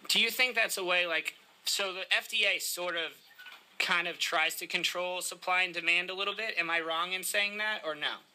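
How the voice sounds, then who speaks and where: A man's voice: high-pitched, normal speed, pitched above the performer's own voice, poor audio. A man putting on a Massachusetts accent to play a brash, overly chummy prank caller who calls himself D-Spin. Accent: put-on Massachusetts. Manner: cocky, overly chummy, gets loud and indignant. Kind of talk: prank phone call. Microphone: recorded from a phone on speaker.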